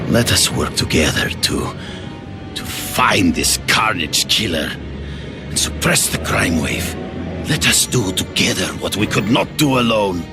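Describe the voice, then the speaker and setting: A grave, gravelly old hunter. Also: male voice, deep voice, full character voice. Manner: grave, measured, formal. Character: proud aging male hunter, honor-bound. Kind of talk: video game cutscene.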